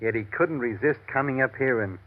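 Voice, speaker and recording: An actor playing a blunt, slangy, slightly slow-witted police inspector in 1940s radio drama style. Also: male voice, mid-range voice, close to an everyday voice. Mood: satisfied, chatty. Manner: blunt, colloquial, grumbling. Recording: muffled old radio recording.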